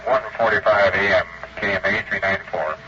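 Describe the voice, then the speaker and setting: A man's voice: lowish voice, fairly even intonation, moderate pace. An American man speaking as a police radio operator, clipped and official. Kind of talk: formal radio dispatch. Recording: dull police radio, clear background noise.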